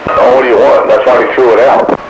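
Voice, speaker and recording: A man's voice: medium pitch, fairly even intonation, a little fast. Wry man on noisy CB radio. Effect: two-way radio transmission. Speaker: CB radio operator. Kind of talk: casual radio chat.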